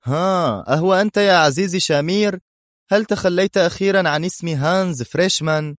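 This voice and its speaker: Guy doing a smug spy hero, sarcastic and taunting, voice lifted up high, audiobook drama. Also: brisk, dry recording, studio quality, natural voice. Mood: mocking. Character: cocky, mocking male secret agent hero.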